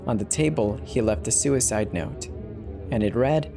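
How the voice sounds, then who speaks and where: Male narrator for scripted horror tales, dramatic delivery, mid-pitched voice, soft music bed. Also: normal speed. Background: background music.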